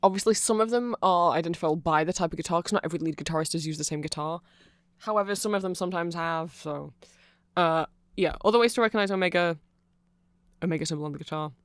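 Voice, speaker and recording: A performer voicing a nerdy, awkward, eager vampire friend in a rambling, hesitant ASMR roleplay, halting and self-conscious. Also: medium pitch, a bit bright, clean tone, no echo, lightly acted. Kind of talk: rambling ASMR roleplay, explaining fan lore to a listener.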